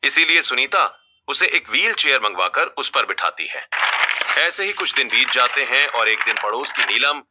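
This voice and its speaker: A man's voice: mid-range voice, expressive, moderate pace, heavy background noise. A man reading scripted narration for a family drama story as its narrator.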